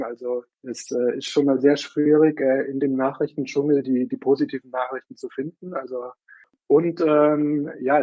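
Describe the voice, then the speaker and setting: A man from southern Germany answering interview questions informally, halting often as he searches for words. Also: medium pitch, husky, dry recording. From Munich, Germany. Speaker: interview guest, newsletter co-founder. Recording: dry, slightly dull lo-fi sound.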